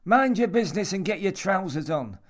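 A man voicing a sour, threatening old storeroom keeper, barking gruffly and possessively in an audiobook. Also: high-pitched, expressive, fairly quick, voice pushed up. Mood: gruff, guarded. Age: older. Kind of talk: audiobook character voice.

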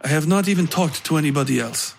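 A weary, stolid male traveller voiced by a narrator speaks in short, flat, hesitant phrases. His voice is gravelly and mid-pitched, in an audio drama with faint background noise. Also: fast talker. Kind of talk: audio fiction character dialogue.

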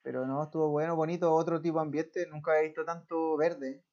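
A man's voice: fairly high pitch, level tone, quick pace, a little mellow. A young man with a Chilean accent, chatting casually and joking with frequent laughter. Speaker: podcast co-host. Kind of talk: casual chat. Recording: dull lo-fi call audio.

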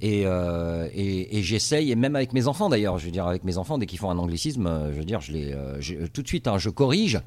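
A man's voice: sits low, sing-song, brisk, few pauses, a little mellow. A middle-aged man from Tunisia, a TV journalist, answering interview questions in a casual, hesitant way full of fillers and restarts. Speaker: TV journalist based in the US.

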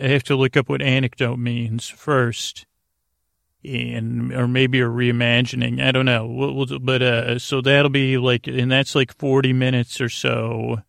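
A creaky, fry-laden man's voice at a middling pitch, in a dry recording with no room sound. He hosts a podcast meant for bedtime, meandering from tangent to tangent. His delivery is halting, and he keeps breaking off and rephrasing his own thoughts. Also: a bit bright, no echo. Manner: meandering, hesitant, halting self-interruptions. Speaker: podcast host. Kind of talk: rambling, tangent-filled bedtime podcast monologue.

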